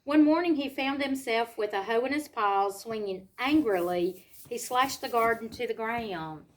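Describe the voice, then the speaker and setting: A woman reads a storybook aloud to children, pausing to explain simply and ask them questions. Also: on the high side, rough recording. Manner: simple explanatory asides and questions to young listeners.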